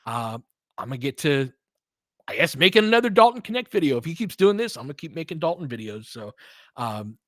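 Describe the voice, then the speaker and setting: A young man hosting a casual live game stream, giving play-by-play and chatting with viewers by name in a relaxed, conversational way. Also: on the high side, a little fast, dry recording, clean studio sound. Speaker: host of a live game watch-along stream.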